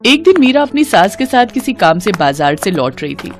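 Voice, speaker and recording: A woman's voice: mid-range voice, sing-song, moderate pace, over music. A woman narrating a scripted cartoon family story.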